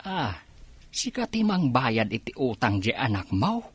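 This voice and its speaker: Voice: medium pitch, very animated, unhurried, slightly rough. A performer with an Ilocano accent playing an aggrieved village vendor who nags neighbours about debts in a radio drama. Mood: aggrieved, softening.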